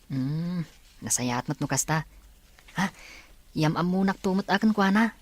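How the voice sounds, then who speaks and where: A woman's voice: fairly low pitch, expressive, a little slow. A woman with a Filipino accent acting a friendly teenage schoolmate in a radio drama. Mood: curious.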